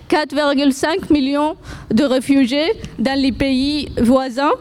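A woman's voice: high-pitched, a little mellow. A woman from Sudan with an Arabic accent, speaking hesitantly at a public gathering as an association representative. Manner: hesitant, halting, many fillers and restarts.

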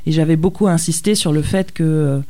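A middle-aged woman historian, a guest on a radio interview, who explains at length with frequent thoughtful pauses and hesitations. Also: low voice, mellow. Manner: thoughtful, many hesitations with "euh". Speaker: historian, university lecturer.

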